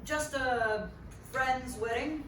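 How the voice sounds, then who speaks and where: A woman's voice: high voice, slow, a bit bright. A woman acting an earnest, evasive young male aspiring filmmaker at a live comic table read. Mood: hesitant, casual. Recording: echoey noisy room, distant mic.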